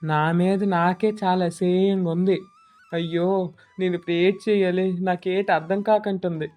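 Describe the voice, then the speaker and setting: Woman voicing a lowish-pitched rustic male servant. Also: little pitch movement, normal speed, warm tone, natural voice. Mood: remorseful. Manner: colloquial, rustic dialect speech. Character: male household servant, sly yet guilt-prone, rustic. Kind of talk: animated moral-story voice acting. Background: faint music.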